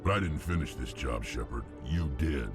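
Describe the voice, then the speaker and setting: An actor voicing a gruff, blunt, menacing alien mercenary in a video game. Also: male voice, a bass voice, normal speed. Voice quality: gravelly. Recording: music behind the voice.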